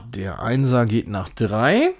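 Man, unhurried casual streamer, animated intonation, short think-aloud mutterings while playing. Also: medium pitch. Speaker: streamer playing a retro puzzle game. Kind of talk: casual live game commentary.

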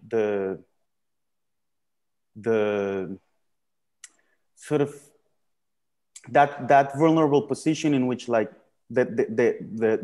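A man from Colombia speaking as a panel guest on a video call, reflecting slowly with many fillers, restarts and repeated words. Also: mid-range voice, a little mellow. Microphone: video call webcam.

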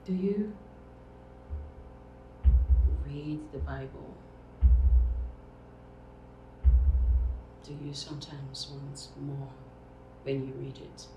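A Nigerian woman playing a wounded, vengeful big sister in a dramatic monologue, speaking in an intense, breathy, halting hush. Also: very deep voice. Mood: brooding. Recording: echoey room, background noise.

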